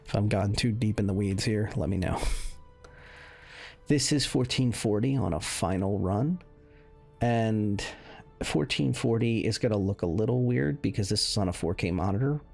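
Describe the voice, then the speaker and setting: A man giving casual, off-the-cuff game commentary, conversational and thinking aloud in a measured way. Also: on the low side, creaky, a little mellow, breathy edge. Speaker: gaming video host, commentator. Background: music under the voice.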